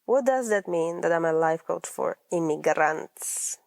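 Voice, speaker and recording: A woman in her thirties with a Polish accent, whose first language is Polish, speaks in a low-pitched voice. She is a life coach hosting her own podcast, talking in an earnest, personal way and rolling her R's. The recording is dry and close. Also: lively intonation, unhurried, gravelly, no echo. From Poland. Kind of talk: scripted podcast monologue.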